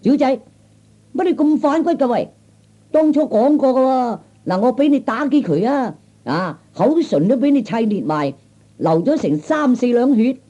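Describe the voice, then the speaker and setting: A blustering young Cantonese bruiser, acted by a man for radio comedy, tosses out threats and taunts. His delivery jumps up and down in a mellow tone, heard through the dull sound of an old 1960s record. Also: very high voice, expressive, normal speed. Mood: indignant. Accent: Cantonese, Hong Kong or Guangdong. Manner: blustering, taunting, stammering interjections. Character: cocky young street bruiser, blustering extortionist.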